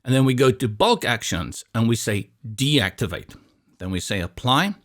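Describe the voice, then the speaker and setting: A man from South Africa, Afrikaans his first language, with a South African accent, calmly talking through a software tutorial step by step with a bit of light humor. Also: medium pitch, moderate pace. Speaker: tutorial instructor. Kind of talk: screen-recorded software tutorial.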